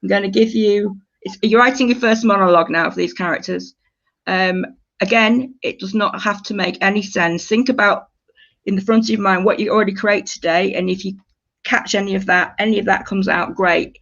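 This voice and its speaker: A woman's voice: mid-range voice, fairly even intonation, normal speed, fairly bright tone, lo-fi sound. A woman leading a writing workshop, giving informal, encouraging instructions and repeating them as she goes. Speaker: creative writing workshop leader.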